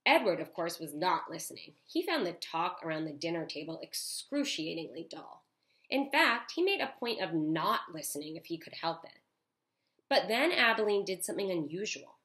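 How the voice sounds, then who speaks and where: A woman's voice: on the low side, fairly bright tone. A woman teacher narrating a children's story expressively, with asides and guiding questions for her listeners. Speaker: elementary school teacher.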